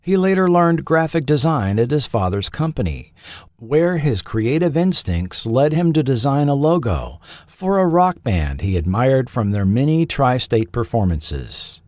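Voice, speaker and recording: A man hosting and narrating a scripted documentary in a measured, deliberate way, letting his phrases fall at the ends. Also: medium pitch, sing-song, darkish tone, no echo, clean studio sound. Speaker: podcast host and narrator.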